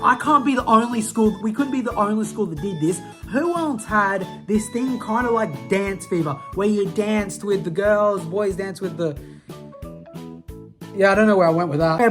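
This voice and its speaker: A young adult with an Australian accent, ranting casually and self-mockingly to camera in a slangy, rambling way full of restarts. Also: medium pitch. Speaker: vlogger. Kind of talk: casual nostalgic rant to camera.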